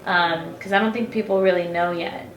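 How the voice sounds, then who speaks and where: A woman's voice: fairly low pitch, steady tone, normal speed, echoey room, highs cut off. A young woman, a singer-songwriter, chatting casually in an interview, filling her talk with "like.". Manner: chatty, many "like" fillers.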